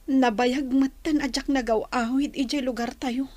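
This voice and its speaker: A woman's voice: sits high, steady tone, normal speed, darkish tone, no room sound. A woman playing a young, troubled mother in a radio drama, hesitant and plaintive. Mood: wondering.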